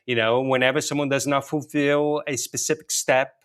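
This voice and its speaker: A man's voice: mid-range voice, fairly even intonation, moderate pace, a bit bright, smooth. A middle-aged man from Brazil with a Brazilian accent, a native Portuguese speaker, answering interview questions in a conversational, explanatory way with "you know" sprinkled in. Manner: explanatory, often says "you know" and "right". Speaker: interview guest, technology and payments executive.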